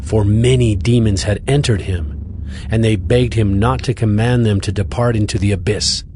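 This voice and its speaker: A man narrating a dramatized scripture reading in a steady, even storytelling voice. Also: lowish voice, normal speed, noisy background. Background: music.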